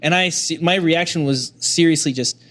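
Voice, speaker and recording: A man's voice: fairly high pitch, normal speed, fairly bright tone. A young man talking casually as a podcast guest, rambling through anecdotes with many fillers. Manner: rambling, anecdotal, lots of likes and ums. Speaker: recording engineer guest.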